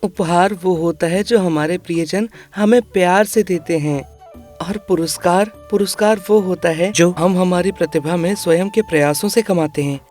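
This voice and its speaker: A woman voicing a caring, poor boy, a gentle and instructive elder brother in a children's moral cartoon. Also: lowish voice, normal speed, soft background music, lightly acted.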